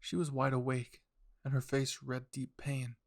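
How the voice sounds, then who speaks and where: A man's voice: medium pitch, completely flat delivery, measured pace, dry recording. Dry, close man narrating a dramatic story. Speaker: fan fiction narrator.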